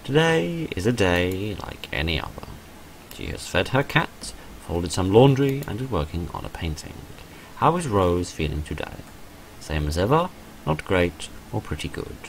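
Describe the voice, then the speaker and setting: A man's voice: low-pitched, sing-song, normal speed, vocal fry, slight background noise, low-quality recording. A man hosting a visual novel playthrough, reading the story text aloud and adding his own casual asides.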